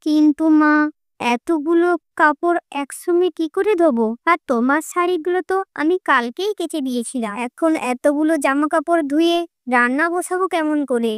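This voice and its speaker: A woman's voice: high-pitched, moderate pace, dry recording, studio quality, natural voice. A woman voicing a poor, meek young daughter-in-law in a cartoon story, speaking in a plaintive way. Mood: pleading. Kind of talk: Bengali cartoon story voice acting.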